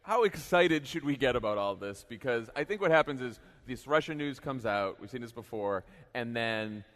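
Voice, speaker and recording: A man's voice: mid-range voice, animated, normal speed, a bit bright. Male podcast host, mid-range voice, wry and sarcastic, casual live political panel. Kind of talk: casual political panel talk before an audience.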